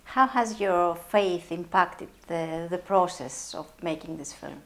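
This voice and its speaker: A woman journalist reading a formal introduction and asking measured interview questions. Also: lowish voice, fairly bright tone.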